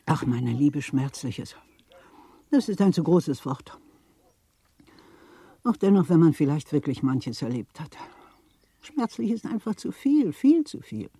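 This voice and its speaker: An actress playing a worldly, gossipy middle-aged widow of a Berlin official in a German radio play, theatrical, insinuating and chatty. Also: female voice, deep voice, very animated, a little slow, pauses often, warm tone, husky, dry recording, heavily acted. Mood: knowing. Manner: theatrical, insinuating, chatty, repeats words for emphasis.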